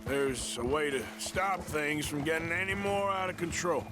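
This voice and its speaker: Man doing a weary outlaw, higher voice, guarded, game dialogue over music. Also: natural voice. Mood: worried. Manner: plainspoken, slow and guarded. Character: weary rugged male outlaw, plainspoken. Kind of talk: video game voice acting.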